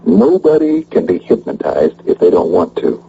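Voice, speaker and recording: An actor playing a gruff, protective male investigator in a radio drama. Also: sits low, wildly swooping pitch, normal speed, dark tone, full character voice. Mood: businesslike. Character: gruff, protective investigator, a grown man directing a sting. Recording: dull lo-fi old radio recording.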